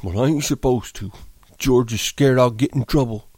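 A man's voice: mid-range voice, moderate pace, darkish tone, breathy edge, no echo, voice pushed up, lightly acted. A man doing a simple-minded giant of a farmhand, plaintive. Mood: wary. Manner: drawling, plaintive, simple speech. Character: big slow-witted childlike ranch hand, man.

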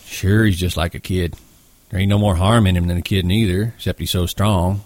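A man voicing a gruff, protective ranch hand who talks in a blunt, folksy, emphatic way in a story. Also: deep voice, fairly even intonation, brisk, a little mellow, no room sound. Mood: matter-of-fact.

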